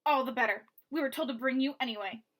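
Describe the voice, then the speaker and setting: A woman voicing a rough male henchman with a broad, coarse way of speaking. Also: high-pitched, fast talker, a bit bright. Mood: gloating.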